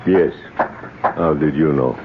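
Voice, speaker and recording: An actor playing a grieving native man in a radio drama, outwardly polite and secretly vengeful, speaking in slow, deliberate, formal phrases. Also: very deep voice, heavily acted. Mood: subdued, guarded. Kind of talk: 1970s radio drama acting. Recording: noisy, dull old radio broadcast.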